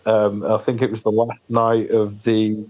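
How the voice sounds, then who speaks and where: A man's voice: fairly low pitch, monotone, a little slow, a little mellow, poor audio. A man, a band's guest on a podcast, telling a story hesitantly with many ums and frequent laughs. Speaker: guest musician in a band. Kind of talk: casual podcast interview storytelling.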